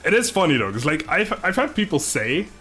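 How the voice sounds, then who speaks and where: A man's voice: on the high side, very animated, brisk, bright, forceful tone, faint music behind. Laid-back Dutch man streaming. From Netherlands. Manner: chatty, laughs often, stumbling restarts, swears casually. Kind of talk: casual live-stream chat reading chat.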